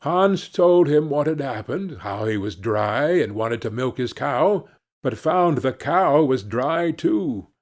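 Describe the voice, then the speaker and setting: A male narrator reads a children's fairy tale in a middle-pitched voice. His delivery is slow and deliberate, with plenty of rise and fall in the storytelling. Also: lively intonation.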